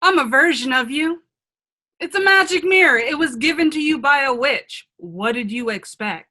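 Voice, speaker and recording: Woman as bright-voiced, frank magic-mirror mentor. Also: high-pitched, lively intonation, normal speed. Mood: matter-of-fact. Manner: earnest, reassuring, mentoring. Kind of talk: comedic radio play acting.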